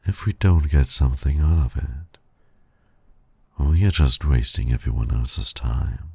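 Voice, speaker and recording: A man playing a suave, flirtatious villain who teases and courts his nemesis in an intimate ASMR roleplay, with a husky, gravelly, breathy voice. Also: very deep voice, no echo, clean studio sound, exaggerated voice. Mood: coaxing, persuasive. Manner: slow, breathy, suave teasing with soft chuckles.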